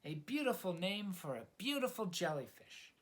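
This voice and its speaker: An excitable young sea creature from a storybook, sweet and hopelessly romantic, played by a man reading aloud. The voice sits high and swings widely as it gushes and exclaims. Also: normal speed. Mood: smitten. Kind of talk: children's storybook character voice.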